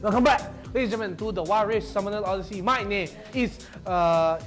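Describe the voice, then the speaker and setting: A man's voice: high-pitched, brisk, soft background music. A man casting an esports tournament stream, joking and mock-complaining with his co-caster. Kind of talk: livestream casting banter.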